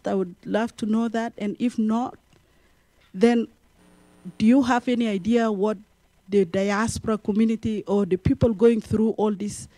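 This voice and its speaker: A woman's voice: on the high side, warm tone, no room sound. A woman from South Sudan asking a panel an earnest question from the audience. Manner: earnest, halting, deliberate.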